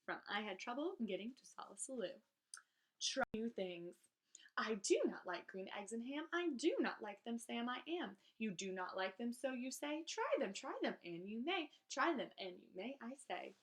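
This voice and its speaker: A woman with a high voice reads children's verse aloud in an expressive, theatrical style. Her pitch swings widely as she leans on key words, like a host reading to young listeners. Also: normal speed. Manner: animated, theatrical, emphatic delivery for children.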